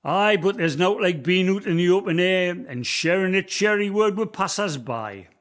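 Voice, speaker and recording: A man voices a cheerful ghostly roadman from the Northumbrian hills, speaking in a bright, high, lively voice with a broad Northumbrian accent. He is folksy and kindly, reciting verse and soothing a frightened visitor in a community radio play. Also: normal speed. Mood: warm, folksy.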